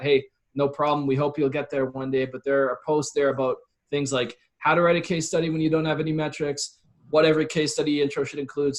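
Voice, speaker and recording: A man explaining things earnestly and casually as an interview guest. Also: mid-range voice. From Calgary, Canada. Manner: earnest, explanatory, often voices example quotes. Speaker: guest, copywriter and consultant. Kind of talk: casual conversational interview talk.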